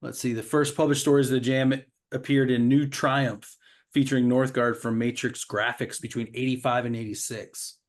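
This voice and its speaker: A man's voice: medium pitch, little pitch movement, moderate pace. Man chatting casually as an agreeable co-host. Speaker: podcast co-host. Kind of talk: casual comic book discussion.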